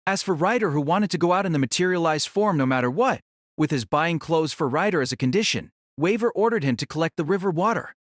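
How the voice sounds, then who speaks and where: A man's voice: fairly high pitch, lively intonation, quick pace, no echo, studio quality. A man narrating a novel as a scripted audio drama.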